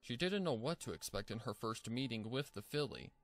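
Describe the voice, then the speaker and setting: A man's voice: medium pitch, animated, a little fast. A man narrating a scripted story as its narrator.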